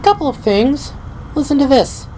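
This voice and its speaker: A man's voice: very high voice, big pitch swings, moderate pace, mellow, a little hiss or hum, pitched above the performer's own voice. A man voicing a worried young woman who intently reads research papers aloud in an audio drama. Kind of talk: audio drama character dialogue.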